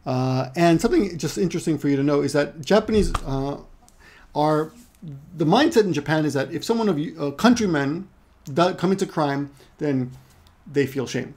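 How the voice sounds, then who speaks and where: A man's voice: fairly high pitch, animated, normal speed, darkish tone. A middle-aged man from Peru who lives in Japan, giving a casual online Japanese lesson in a rambling way, correcting himself often and adding joking asides. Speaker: Japanese language teacher.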